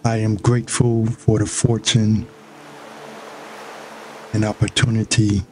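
A man with a fairly low voice leads a guided meditation as a scripted narration. He speaks very slowly, leaving long pauses between short instructional phrases. There is clear ambient noise behind his voice. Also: flat delivery.

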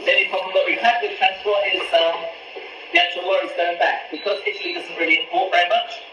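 A man's voice: high-pitched, fairly even intonation, fast talker, sharp tone, muffled recording, a little hiss or hum. A man, a pasta supplier explaining each cooking step as a guest on a live stream from his kitchen. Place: home kitchen. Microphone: camera a few feet away on a video call. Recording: echoey kitchen sound from the call.

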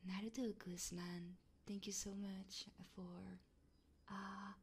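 A woman live streamer chatting casually and playfully in a soft, breathy ASMR style, often whispering, close to the microphone. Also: medium pitch, flat delivery, slow pace, a little hiss or hum. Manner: casual and playful, swearing freely.

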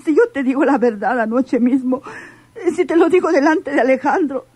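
A woman's voice: sits high, normal speed, dark tone, no echo, natural voice. An actress with a Mexican accent playing a frightened, pleading woman in a radio drama, speaking hesitantly, as if confessing. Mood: anxious, resigned. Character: frightened, pleading woman caught between dangerous men. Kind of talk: old-time radio drama acting.

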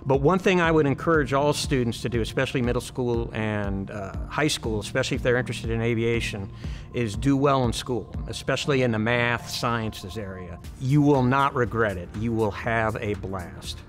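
A man's voice: mid-range voice, moderate pace. A man in his fifties, an aviation program director giving a promotional interview. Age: 50s. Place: large aircraft hangar. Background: music.

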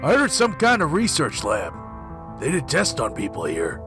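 Man acting brash, animated gang henchman. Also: on the high side, normal speed. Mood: determined. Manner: slangy, blunt. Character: brash, uneducated, eager male gang henchman. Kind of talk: audio drama acting. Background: music.

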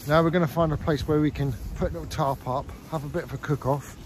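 A man's voice: fairly high pitch, fairly even intonation, quick pace, low-quality recording. A man hosting an outdoor vlog, chatting casually and rambling as he walks through winter woodland. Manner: chatty, rambling, laughs often. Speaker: outdoor vlogger and channel host. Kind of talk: casual walk-and-talk vlog. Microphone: camera mic on a stand outdoors. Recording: wind noise on an outdoor mic.

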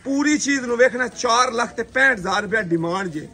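A man hosting a livestock sale video outdoors on a farm, pitching cows in brisk, persuasive seller's patter. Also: expressive, pushed and bright. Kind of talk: casual sales commentary. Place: outdoor dairy farmyard.

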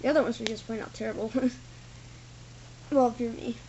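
A woman's voice: a little high, fairly even intonation, fairly quick, dark tone, highs cut off, slight background noise. A woman giving a casual, rambling toy review from an indoor room, filmed on a tripod camera. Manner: rambling, loose, full of "like" and "thing". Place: indoor room with carpeted floor. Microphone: camera on a tripod, built-in mic.

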